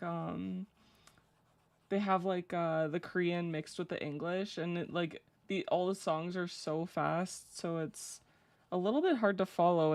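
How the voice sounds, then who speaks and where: A young woman with a low, gravelly voice talks loosely through a live drawing stream. She rambles with plenty of "like" and "um" and laughs easily. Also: darkish tone. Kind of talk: casual live-stream chat while drawing.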